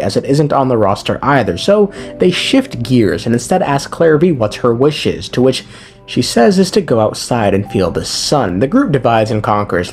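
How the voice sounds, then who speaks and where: Young male creator, animated intonation, loose sweary recap delivery with music underneath. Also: medium pitch. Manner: slangy, profane, rambling, wryly joking. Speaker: video creator recapping a game story. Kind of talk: casual story recap commentary. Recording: music behind the voice. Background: background music.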